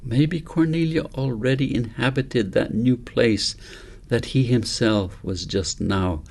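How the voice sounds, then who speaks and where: A man narrating an audiobook story in a gentle, measured, deliberate way. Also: mid-range voice, creaky. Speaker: author reading own book aloud.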